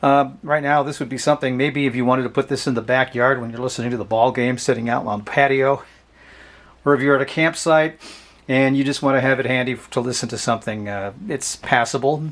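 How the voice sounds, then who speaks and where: A man casually demonstrating products without a script, speaking in an offhand, halting and hedging way. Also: medium pitch, fairly even intonation, fairly bright tone. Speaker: host of a vintage radio review channel.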